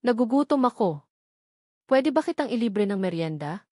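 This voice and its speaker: A woman's voice: fairly high pitch, big pitch swings, normal speed, mellow, no room sound, studio quality. A Filipino woman voicing an earnest, protective young man in a romance audio drama. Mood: kind, attentive. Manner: earnest, firm.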